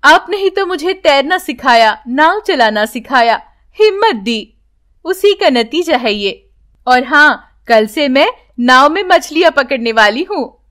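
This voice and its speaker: A woman's voice: sits high, expressive, measured pace, fairly bright tone. A woman voicing a spirited, devoted young granddaughter in a storybook tale, earnest and affectionate. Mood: affectionate, determined.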